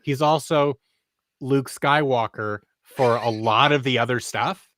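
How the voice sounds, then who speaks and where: A man's voice: medium pitch, unhurried, fairly bright tone, no room sound. A man in his thirties talking casually as an interview guest, with an enthusiastic, rambling manner, on a close mic at home. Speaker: guest, audio drama creator and actor. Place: small home room on a video call. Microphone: close studio mic on boom arm with headphones.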